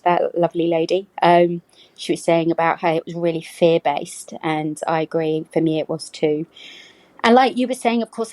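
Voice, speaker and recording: A woman's voice: on the low side, a little mellow, no echo, low-quality recording. A woman, from the UK, leaving a rambling recorded voicemail as a caller, with many ums. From United Kingdom.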